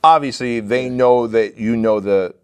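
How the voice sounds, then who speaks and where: A man's voice: medium pitch, a little slow, darkish tone, smooth. A man hosting an interview who drives the talk with probing follow-up questions and short "yeah"s. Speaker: interviewer, host. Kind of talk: casual interview.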